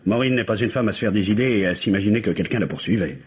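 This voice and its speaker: A man's voice: fairly low pitch, very fast, slightly rough. An actor playing an anxious, devoted husband, a businessman, urgent and hesitant, in the manner of old French radio mystery drama. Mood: distraught, urgent. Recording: muffled, indistinct old radio recording.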